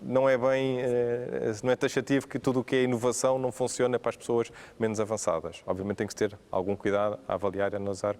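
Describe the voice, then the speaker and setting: A man with a European Portuguese accent speaking on a business panel, pausing often with fillers and restarts. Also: mid-range voice, level tone, darkish tone, clean tone. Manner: hesitant, many fillers and restarts. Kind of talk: panel discussion.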